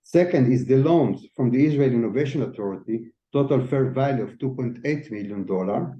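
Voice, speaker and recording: Male CFO reading prepared results formally; echoey room, somewhat muffled low-fidelity recording. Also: lively intonation, brisk. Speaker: chief financial officer. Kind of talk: scripted financial presentation. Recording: echoey, slightly dull audio.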